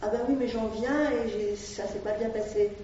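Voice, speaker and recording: A woman's voice: medium pitch, steady tone, moderate pace, dark tone. Distant echoey woman, hesitant speaker. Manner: hesitant, many fillers and restarts. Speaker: volunteer at an asylum-seeker aid association. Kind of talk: explanatory talk to an audience. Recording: distant, echoey, dull room sound with faint noise.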